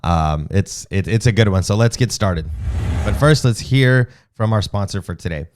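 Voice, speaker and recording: A man's voice: lowish voice, normal speed, a little mellow, a little hiss or hum. A man hosting a casual podcast interview, upbeat and chatty. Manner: upbeat, chatty, reads sponsor spots. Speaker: podcast host.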